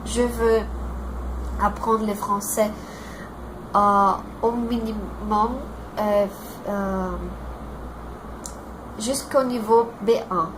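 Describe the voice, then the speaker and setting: A Brazilian woman in her twenties with a Brazilian Portuguese accent, introducing herself in a learned language and pausing often to search for words. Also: mid-range voice, fairly even intonation, noisy background. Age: 20s. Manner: halting, searching for words, many fillers and restarts.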